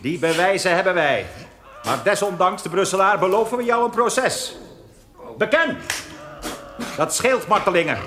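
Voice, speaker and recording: An actor playing a menacing, gloating interrogator in a radio drama, commanding and taunting. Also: male voice, high-pitched, lively intonation, moderate pace, long pauses, fairly bright tone. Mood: threatening. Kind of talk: Dutch radio drama acting. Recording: echoey room with background noise.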